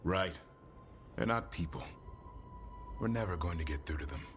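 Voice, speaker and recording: An actor playing a thoughtful, world-weary adventurer in a video game, speaking seriously and reflectively. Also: male voice, sits low, lively intonation, moderate pace. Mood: firm. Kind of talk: dramatic video game dialogue. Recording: music behind the voice.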